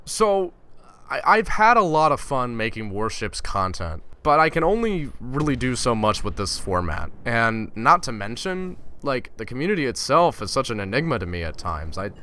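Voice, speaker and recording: A young gaming YouTuber from Finland speaks in a casual vlog with a bright, forceful voice. He rants in an exasperated, sarcastic way. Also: mid-range voice, sing-song, moderate pace.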